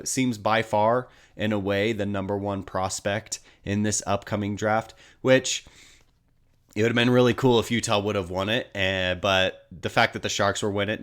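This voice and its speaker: A man's voice: fairly low pitch, a bit bright. An American man getting over a cold, slightly nasal, giving casual hockey news commentary in a hesitant, rambling way.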